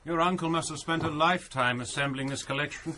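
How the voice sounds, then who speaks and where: An actor playing a fussy, self-assured young scholar in a radio drama, speaking in a precise, reproving way. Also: male voice, on the high side, fast talker, pushed and bright, a little hiss or hum. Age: young adult.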